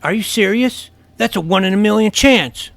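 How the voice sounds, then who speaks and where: A man's voice: high voice, normal speed, fairly bright tone. An actor playing a wry twelve-year-old boy detective who narrates his own adventure in an audio drama. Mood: startled, nervy. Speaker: narrator and lead. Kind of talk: scripted first-person story narration. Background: clear music.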